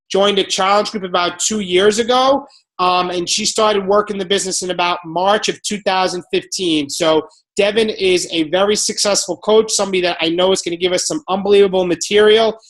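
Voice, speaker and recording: A man's voice: high-pitched, steady tone, moderate pace, fairly bright tone, low-quality recording. A man hosting a team video call, reading out names and giving upbeat motivational encouragement.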